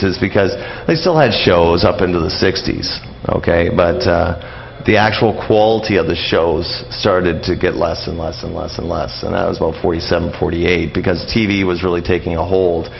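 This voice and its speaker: A middle-aged man giving a casual radio interview, explaining and reminiscing in a halting, rambling way that often circles back on phrases. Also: low voice, creaky. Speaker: interview guest, old-time radio enthusiast. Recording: dull, lo-fi radio interview with noise.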